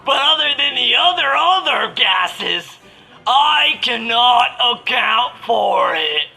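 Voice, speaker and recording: Radio parody role: eccentric astronomer professor female performer, high-pitched, drawn-out delivery, lewd and theatrical, faint music underneath. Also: very animated, creaky, bright, forceful tone, breathy edge, exaggerated voice, rough recording. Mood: silly, flirtatious. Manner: theatrical, goofy, reads stage directions aloud. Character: eccentric, boastful, lewd astronomy professor, nerdy oddball man. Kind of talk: parody of 1930s radio drama, partly improvised.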